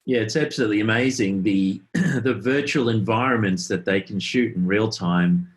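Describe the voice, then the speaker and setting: A man's voice: fairly low pitch, moderate pace. A man acting as interviewer and host, speaking conversationally to a guest.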